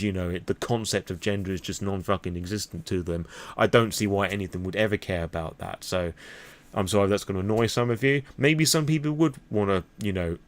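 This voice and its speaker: A British man talking off the cuff to his viewers in a personal, opinionated vlog, blunt and emphatic in manner. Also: sits low. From Britain. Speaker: vlogger on roleplaying games.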